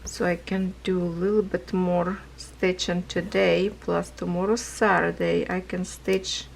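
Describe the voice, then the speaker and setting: A woman chatting casually about her cross-stitch projects, rambling, laughing often, with a hoarse voice as she is losing it. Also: fairly low pitch, flat delivery, measured pace, a little hiss or hum, low-quality recording. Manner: rambling, non-native phrasing, laughs often. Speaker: craft vlogger. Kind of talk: casual chat while stitching.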